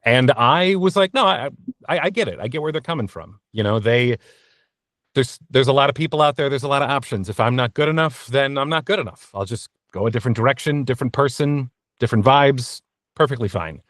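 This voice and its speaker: A man's voice: medium pitch, lively intonation, fairly quick, a bit bright, no echo. Candid man chatting casually in a dry room. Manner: candid, informal, thinking aloud. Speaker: voice actor, interview guest. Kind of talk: casual conversational interview answers.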